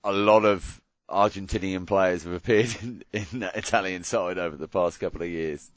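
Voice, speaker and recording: Low-voiced man bantering about hockey. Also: moderate pace, no room sound, highs cut off. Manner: chatty, jokey asides, stumbling restarts. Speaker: podcast co-host. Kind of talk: casual sports chat.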